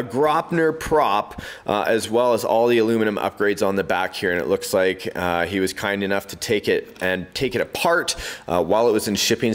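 A man hosting a casual unboxing video, chatty and full of fillers, laughing at his own slips. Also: on the low side. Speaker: hobby video host. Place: workshop studio with tool cabinets. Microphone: handheld camera mic, host mostly off screen.

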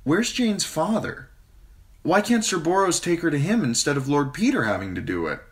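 A nervous teenage noble girl, polite and eager to please, her voice climbing high as she pleads while a man acts her out. She sounds breathless and worried throughout, far above the narrator's own deep register. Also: big pitch swings, moderate pace. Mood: upset, pleading.

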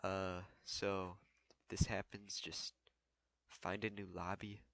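A man's voice: low-pitched, flat delivery, slow pace, creaky, fairly bright tone, no echo. A young man casually walking through a game tutorial while he plays, loose and full of fillers. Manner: loose, filler-heavy, thinking aloud while playing. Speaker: gaming tutorial creator. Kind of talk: casual gameplay walkthrough.

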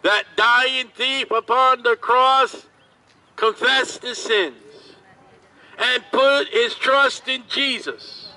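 A man preaching outdoors at a market, his voice pitched very high. He speaks very slowly, stretching his words. His tone is sharp and piercing, picked up from across the street. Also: low-quality recording. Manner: slow, drawn-out shouted preaching. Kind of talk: open-air street preaching.

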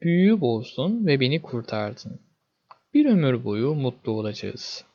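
Tiny enchanted storybook princess, gentle and confident, animated intonation, read by a man. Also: medium pitch, measured pace, lo-fi sound. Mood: grateful.

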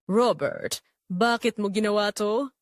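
A woman's voice: medium pitch, slow, no room sound, studio quality, close to an everyday voice. A woman playing a guilt-ridden, desperate unfaithful wife in a romance drama, pleading in a trembling manner. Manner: pleading, trembling, faint to near-shouting.

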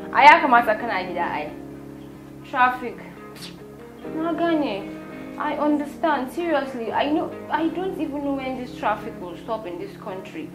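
A woman's voice: sits high, roomy sound, low-quality recording. A woman with a Nigerian accent playing an educated Hausa wife, coaxing and tender toward her husband.

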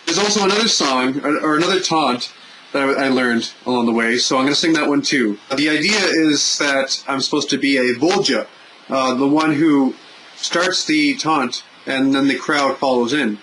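A young Canadian man with a Canadian accent, vlogging casually from a room at home into a webcam, animated and gesturing, pausing with fillers. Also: mid-range voice, noisy background. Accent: Canadian English. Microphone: webcam at desk.

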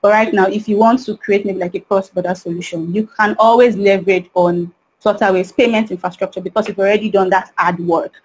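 A woman's voice: medium pitch, fairly even intonation, fairly quick, few pauses, slightly rough, rough recording. A woman in her thirties, a panelist on an online video call over a headset, speaking earnestly and often saying "you know".

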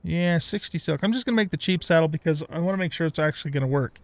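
A man's voice: fairly high pitch, fast talker, no room sound. A middle-aged man giving casual let's play commentary on a video game, thinking aloud and muttering to himself.

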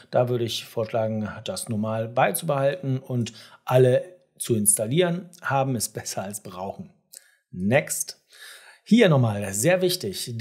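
A man in his forties hosting a software tutorial, explaining step by step in a direct, conversational and instructive way. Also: mid-range voice, husky. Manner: direct, instructive, addressing the viewer. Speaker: tutorial host. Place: small home studio room, indoors.

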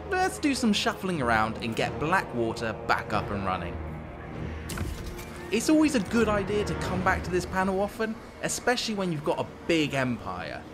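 A man narrates a strategy game showcase in a wry, playfully villainous way. His voice sits a little high and swings widely in pitch, with prominent music behind him. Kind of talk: scripted strategy game narration, tongue-in-cheek and in character.

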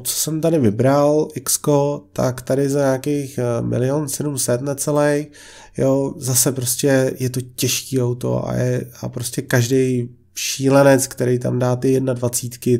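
A man's voice: mid-range voice, creaky, dark tone. A young man giving casual, chatty commentary on used car listings, full of filler words and blunt opinions. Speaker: car vlogger.

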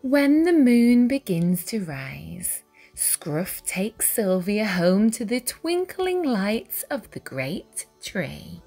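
A woman reading a children's picture book aloud with deliberate, dramatic phrasing for young listeners. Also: mid-range voice, big pitch swings, gravelly, mellow. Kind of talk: expressive read-aloud storytelling. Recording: music behind the voice. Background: music.

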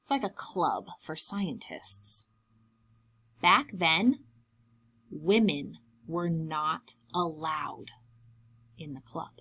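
Young woman, preschool story-time reader. Very lively, swooping intonation, unhurried delivery, simple child-directed explanations. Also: low voice, breathy edge. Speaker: preschool teacher reading aloud to children. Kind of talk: expressive children's picture-book read-aloud.

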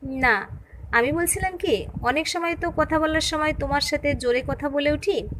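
A woman's voice: high voice, moderate pace, a little hiss or hum. A woman voicing an older mother-in-law in an audio story, wary, uneasy and hesitant. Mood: anxious, soft. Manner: soft-spoken, hesitant.

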